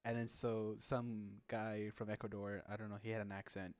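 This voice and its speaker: A man's voice: lowish voice, little pitch movement, moderate pace, darkish tone, smooth, no echo. Young man chatting on a lo-fi mic. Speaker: podcast host. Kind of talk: casual chat and storytelling among friends.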